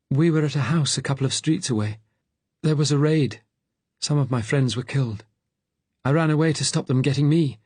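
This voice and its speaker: A frightened man on the run, voiced by a male narrator in an audiobook drama. The voice is pushed up high, shaken and pleading, with an earnest, worn-out edge. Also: a little mellow, slightly rough, no room sound. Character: frightened, exhausted fugitive man, trembling and earnest.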